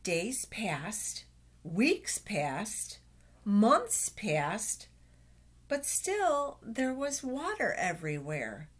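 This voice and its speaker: Slow-paced older woman reading to children. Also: medium pitch, big pitch swings. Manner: exclaims and points things out, with drawn-out words. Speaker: storytime reader for children. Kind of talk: reading a picture book aloud to young children. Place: small office, indoors. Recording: noisy webcam recording with clicks.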